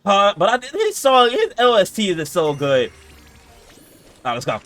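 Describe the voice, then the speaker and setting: A man streaming a video game with a bright, forceful voice and swooping pitch. He comments casually in profane slang, with faint game music behind him. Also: huge pitch swings, normal speed. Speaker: game streamer reacting to gameplay.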